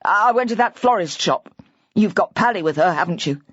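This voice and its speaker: A woman's voice: medium pitch, lively intonation, moderate pace, fairly bright tone, husky, no room sound, highs cut off. A woman voicing a distraught older husband whose words tumble out in a rambling, hysterical rush.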